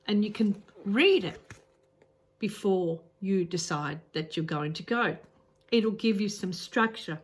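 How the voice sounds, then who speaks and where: Slow, low-voiced Australian woman. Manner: earnest, repeats words, frequent ums and restarts. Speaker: travel vlogger. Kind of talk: casual advice talk to camera.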